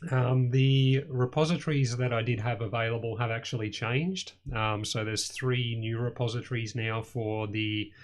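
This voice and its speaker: A young man hosting a casual electronics coding tutorial, slightly hoarse as he loses his voice, rambling with lots of ums and sort-ofs. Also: medium pitch, fairly even intonation, moderate pace, few pauses. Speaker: host of an electronics channel.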